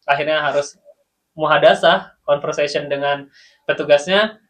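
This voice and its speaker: A man with an Indonesian accent, a guest on a casual podcast, telling about his time as a student in Sudan with lively, laughing storytelling. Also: fairly high pitch, normal speed. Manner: animated storytelling, laughs while recounting.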